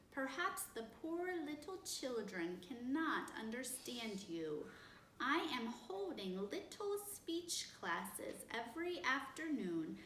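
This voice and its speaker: A woman voicing a prim, superior woman who prizes perfect speech, talking slowly with over-precise diction. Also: fairly high pitch, a bit bright, slight background noise, voice pushed up, heavily acted. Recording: roomy, distant mic.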